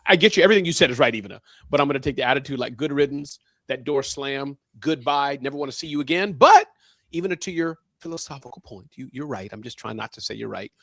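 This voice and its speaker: Guy in his 50s chatting on a biz show, talks really fast, animated, very dry sound. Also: medium pitch, vocal fry, no room sound. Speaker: panelist on a small business show. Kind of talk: casual chat.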